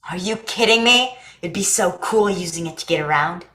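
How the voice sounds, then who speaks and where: A woman's voice: on the low side, normal speed, pushed and bright. Woman playing an eager, guileless young boy, echoey. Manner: earnest, guileless, full of questions. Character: naive, eager, chatty young boy who loves jokes. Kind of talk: staged play reading.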